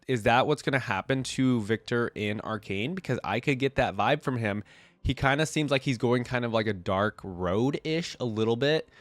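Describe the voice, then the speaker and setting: A man's voice: fairly low pitch, no room sound. A man with a cold, rambling casually as he hosts a reaction video. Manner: rambling, full of fillers. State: congested with a cold.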